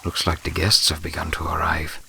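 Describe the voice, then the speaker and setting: A calm, deferential helper played by a man from a storybook pony tale. The voice is gravelly and quick, patiently reassuring, with watery noise behind it. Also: exaggerated voice. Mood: hushed. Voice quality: low, gravelly. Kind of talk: dramatic reading of a fan story.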